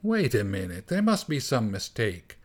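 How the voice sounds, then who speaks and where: A man's voice: fairly low pitch, very animated, normal speed. Storybook cellist, read by a man: petulant, blunt, puzzled, ordinary voice.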